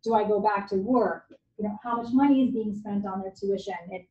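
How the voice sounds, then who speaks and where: A woman's voice: mid-range voice, fairly quick, mellow. Guest lecturer, forties woman, Japanese native, self-deprecating and chatty; echoey hall, dull lo-fi audio. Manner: candid, conversational, self-deprecating. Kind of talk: university lecture talk.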